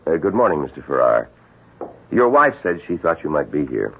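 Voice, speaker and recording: A state cop, deep voice, firm and official, muffled old radio play. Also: male voice, very animated, creaky, slightly rough, heavily acted. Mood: polite, firm. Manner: polite, official, measured. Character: firm, matter-of-fact state police officer. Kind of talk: 1970s radio drama acting. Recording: dull lo-fi 1970s radio recording.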